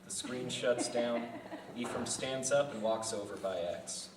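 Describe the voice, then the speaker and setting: A man's voice: mid-range voice, measured pace. Man narrating a script, distant and echoey. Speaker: narrator and writer at a table read. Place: indoor festival venue. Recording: distant echoey room with background noise.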